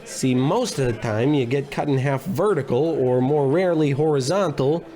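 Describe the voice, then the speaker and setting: An actor playing a bumbling, self-important, grumbling private investigator in a comedy in old radio detective style. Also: male voice, medium pitch, normal speed, mellow, close to an everyday voice. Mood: blustery, oblivious. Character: bumbling, blustery, gluttonous male private investigator.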